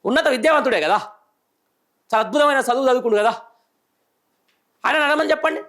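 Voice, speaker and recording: Male news host, middle-aged, Telangana accent. High voice, indignant and emphatic, firing rhetorical questions in live political commentary. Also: a little fast, fairly bright tone. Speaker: news show host, journalist.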